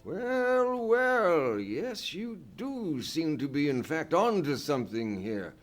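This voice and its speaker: A man's voice: a little high, sing-song, slow pace, loud music behind the voice. An actor playing a genial, well-bred older English statesman in a live radio play, courteous, chatty and avuncular. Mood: businesslike. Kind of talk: live old-time radio play acting.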